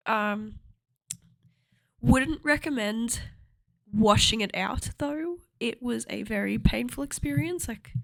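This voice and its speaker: A young woman vlogging in a chatty, rambling way, with a slightly croaky voice and frequent laughter. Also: a little high, no room sound. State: a little croaky. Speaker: cosplay vlogger. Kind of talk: casual chat to camera.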